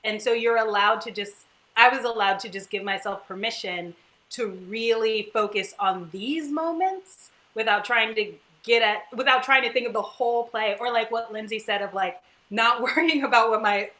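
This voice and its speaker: A woman's voice: a little high, moderate pace, bright, forceful tone. A woman talking casually on a panel, earnest and emphatic, working out her thoughts aloud. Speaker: stage actor on a panel.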